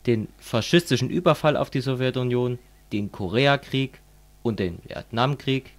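A man's voice: mid-range voice, moderate pace, lightly acted. A man playing a fervent communist who lectures a friend in a didactic, declamatory way, as in an amateur radio play.

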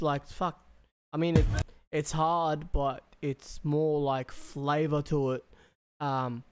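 Young man, higher-than-average pitch, smooth voice, casual slangy reaction commentary, swears freely. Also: darkish tone. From Australia. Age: young adult. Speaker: reaction video host. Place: small bedroom, indoors. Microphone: close desk microphone.

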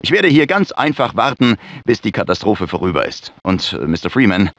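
An actor playing an anxious research scientist in a dramatic video game, speaking in a fretful, earnest, theatrical manner. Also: male voice, fairly low pitch, sing-song, fairly quick, dry recording. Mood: nervous. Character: anxious male research scientist in a sci-fi disaster. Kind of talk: dramatic game character dialogue, German dub. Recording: dull lo-fi game audio.

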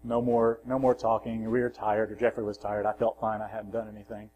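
A man preaching a sermon in a church, measured and plain, swelling on scripture and dropping softly at the ends of phrases, with self-deprecating asides. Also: lowish voice, flat delivery, a little hiss or hum, low-quality recording. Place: church sanctuary.

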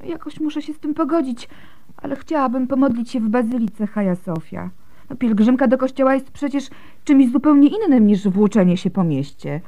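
An actress playing a devout, gentle young Byzantine wife and mother in a radio drama, speaking softly and earnestly. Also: high-pitched, expressive, normal speed.

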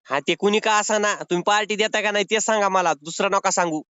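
Male prank caller, high smooth pitch, pushy and mock-pleading, lo-fi call audio. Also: fairly quick, bright, forceful tone, no room sound. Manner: wheedling, insistent, mock-pleading. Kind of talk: unscripted-sounding phone banter. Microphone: phone call. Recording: dull lo-fi phone call recording.

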